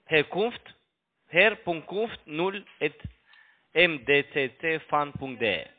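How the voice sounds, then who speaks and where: A man with a foreign accent and non-native, halting German with broken grammar, making an unscripted sales call over the phone as a broker. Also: on the high side, expressive. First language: not German. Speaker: cold caller posing as a broker. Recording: tinny narrow phone line.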